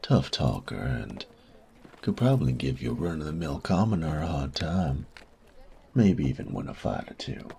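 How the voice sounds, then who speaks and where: Male actor as a smug sadistic bandit, extremely low voice, gravelly, breathy whispers, slow taunting drawl, audio drama, noticeable background noise. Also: very animated, mellow, exaggerated voice. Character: sadistic, cocky young male bandit, smug and teasing, menacing. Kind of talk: scripted roleplay audio drama monologue.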